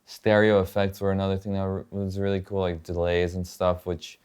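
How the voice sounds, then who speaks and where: A man with a low, smooth voice talks casually as a guest musician. His delivery is laid-back and colloquial, with plenty of loose filler words. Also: monotone, fairly quick, darkish tone. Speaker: guitarist and producer, interview guest. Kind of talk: casual demonstration and chat. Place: home studio.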